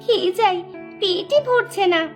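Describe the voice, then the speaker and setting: A woman's voice: extremely high pitch, measured pace, soft background music. A woman voicing an eager, greedy young village niece in a cartoon, in a gushing, childlike manner. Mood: eager, hungry. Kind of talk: amateur cartoon dubbing.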